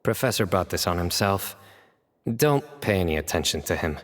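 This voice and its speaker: An actor playing a stoic, terse young man who speaks calmly and briefly. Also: deep voice, moderate pace, a little hiss or hum. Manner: terse, flat, calm.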